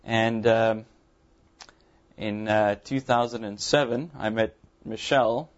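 South african guy, afrikaans first language, mild accent, earnest church testimony, flat voice, kinda dull recording. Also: on the low side. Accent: Afrikaans-accented English, mild. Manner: earnest, halting, many ums and uhs. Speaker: visiting pastor. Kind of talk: sermon testimony to a congregation. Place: church sanctuary.

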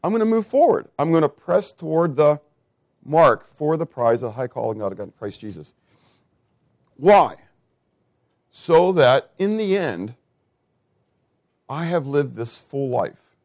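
A man in his fifties preaching a sermon, asking the congregation questions and swinging between loud, emphatic lines and soft asides. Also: fairly high pitch, lively intonation, a little slow, long pauses, no room sound, clean studio sound. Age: 50s.

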